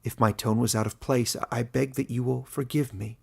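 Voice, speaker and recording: A young outcast labourer from a fantasy storybook, acted by a man. He speaks in a husky, heavily creaky voice, halting and full of anguish. He is bitter about his lot yet humble. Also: mid-range voice, fairly even intonation, a little mellow. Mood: ashamed, apologetic. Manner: halting, emotional, stammering apologies and protests.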